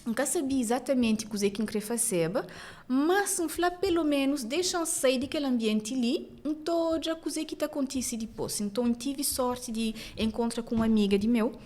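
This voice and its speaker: A woman from France with a Cape Verdean accent, a journalist telling her story as a podcast guest in lively conversation. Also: sits high. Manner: animated storytelling, quick laughs. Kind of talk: casual interview conversation.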